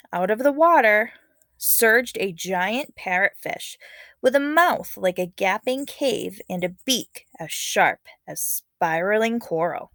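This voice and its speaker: A woman's voice: medium pitch, fairly bright tone, dry recording. A woman speaking as a teacher, reading a story aloud expressively and talking to students in an instructive, casual way. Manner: instructive, checks in with okay and all right.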